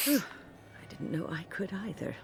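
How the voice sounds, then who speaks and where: A woman playing a gentle, wry older mage in a video game, speaking in a measured, reflective way. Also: medium pitch, big pitch swings, exaggerated voice. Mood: weary, reflective. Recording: game audio under clear music.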